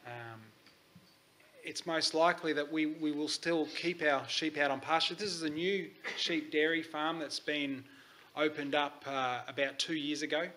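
A man's voice: on the high side, sharp tone. An Australian man giving a conference presentation, talking in a hesitant way with frequent ums. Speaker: conference speaker. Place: conference hall.

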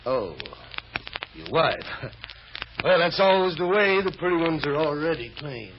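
A man's voice: fairly high pitch, sing-song, unhurried. Genial, folksy salesman. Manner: folksy, hesitant, chuckling. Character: genial, flirtatious travelling salesman. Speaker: radio drama actor. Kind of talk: old-time American radio drama acting. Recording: dull, noisy old radio recording.

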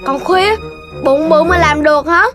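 High-pitched excitable cartoon child animal. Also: measured pace. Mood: scoffing. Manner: exclamatory, childlike. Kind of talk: animated children's series voice acting. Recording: music behind the voice.

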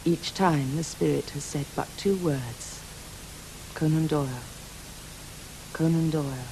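A troubled, proud lady medium speaks with a creaky edge. Her radio drama delivery is grave and intense, over a faint background hiss. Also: sits low, mellow, rough recording. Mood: haunted. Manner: grave, dramatic, deliberate phrasing. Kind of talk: studio radio drama acting. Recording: hissy background noise.

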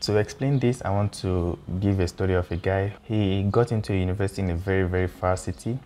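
Young Nigerian man, deep low register, Nigerian accent, relaxed explainer host, chatty and reassuring. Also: fast talker, a little hiss or hum. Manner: conversational, with fillers and reassuring asides. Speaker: YouTube educator for international students. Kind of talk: explainer video walking through slide topics. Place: indoor room with a pool table and windows.

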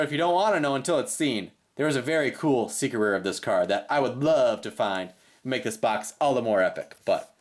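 A woman with a slightly raspy voice doing casual, playful unboxing commentary with sing-song call-outs as a host. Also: a bass voice. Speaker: host of trading card pack openings. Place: indoors at a tabletop.

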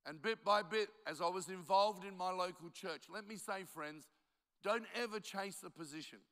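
An older man in his seventies preaches to a conference, his voice high, bright and ringing clear. He grew up speaking Italian at home and tells stories with a dry, self-mocking humor, then presses his point hard. A long hall reverb trails each phrase. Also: moderate pace. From Australia. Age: 70s. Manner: storytelling with self-deprecating jokes, rising to emphatic exhortation. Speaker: pastor. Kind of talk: conference sermon.

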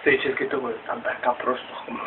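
A man in his thirties from Romania rambles haltingly through a casual, improvised exercise demonstration at home, swearing mildly. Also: medium pitch, level tone. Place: small room at home. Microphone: webcam mic at a distance. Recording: tinny noisy webcam audio, distant mic.

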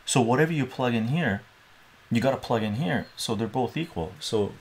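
Gravelly man teaching at a whiteboard. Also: medium pitch, animated, normal speed. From Iran. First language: Armenian. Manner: chatty, thinking aloud and asking "right?" often. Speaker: math tutor. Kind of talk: casual live-stream math lesson. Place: small home room with a whiteboard.

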